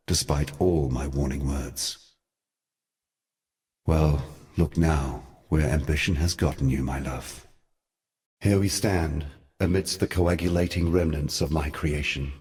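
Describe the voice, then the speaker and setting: An ancient sad god in a fantasy game, super deep voice, solemn n theatrical. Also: male voice. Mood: regretful, then brooding, ominous. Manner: solemn, eloquent, theatrical delivery. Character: ancient male god, grave, sorrowful, brooding mentor. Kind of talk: scripted fantasy game monologue.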